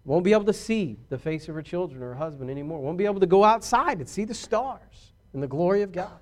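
A pastor preaching a sermon, shifting from quiet confiding asides to loud emphatic declarations. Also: male voice, sits high, lively intonation, fast talker.

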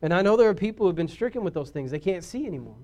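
High-voiced preaching man, emphatic. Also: animated, very fast, a little mellow. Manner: preachy, rising to emphatic declarations. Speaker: pastor. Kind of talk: sermon.

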